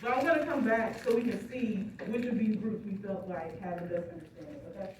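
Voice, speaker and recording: A woman's voice: medium pitch, a little fast. A woman teaching a college class, explaining step by step and often checking that the students follow. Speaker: college instructor. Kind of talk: classroom lecture. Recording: echoey classroom, distant phone recording, background noise.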